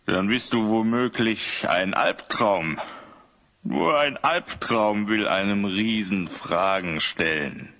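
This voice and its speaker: An actor playing a huge, lazy, grumbling giant in a children's audio drama, drawling sleepily. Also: male voice, lowish voice, animated, slow pace, sharp tone, full character voice. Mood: weary, puzzled.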